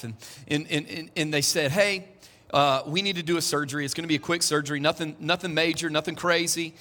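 A man's voice: fairly high pitch, pushed and bright. A man, preaching a sermon to his congregation in a conversational way, with joking asides. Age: 40s. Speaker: pastor. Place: church hall. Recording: echoey church hall.

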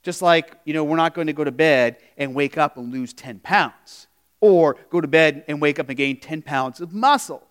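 A man with a high voice preaches a sermon to his congregation. He talks in a loose, conversational way, restarting phrases and throwing questions out to the listeners. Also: moderate pace, fairly bright tone. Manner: conversational preaching with frequent "you know" and restarts. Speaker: pastor.

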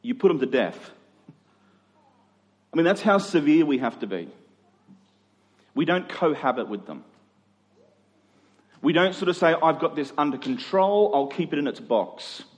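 A man's voice: fairly high pitch, big pitch swings, unhurried, long pauses. A middle-aged man preaching in a conversational expository style, using rhetorical questions and emphatic repetition. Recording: long hall reverb.

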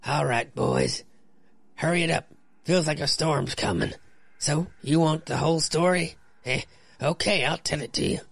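A man acts out a grizzled sheriff in a comic-book radio play. The voice is creaky and rough, rising and falling freely as he spins a grim, folksy yarn. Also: a little high, expressive, normal speed, fairly bright tone, no echo, heavily acted. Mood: gruff, folksy. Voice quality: gravelly, raspy. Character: grizzled small-town sheriff, grim old storyteller.

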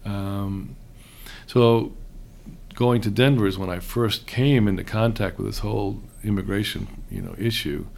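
A middle-aged man from Houston hosting a relaxed conversation, chatty with frequent hesitations. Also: fairly low pitch, a little slow, creaky. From raised in Houston. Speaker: host, seminary professor. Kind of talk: casual conversation.

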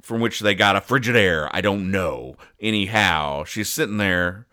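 A man hosting a comedy podcast, giving deadpan, mock-serious explanations with halting asides. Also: low voice, smooth. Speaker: podcast host. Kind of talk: deadpan comedic commentary, recapping comic strips.

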